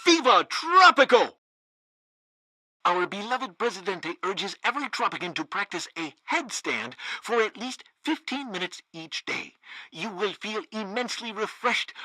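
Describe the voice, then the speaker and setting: A man's voice: sits high, sharp tone, no echo, full character voice, rough recording. An actor playing a pompous, fanatically loyal male radio news host in a video game, theatrical in delivery. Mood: exasperated, cheerful. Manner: theatrical, bombastic. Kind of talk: satirical radio news broadcast.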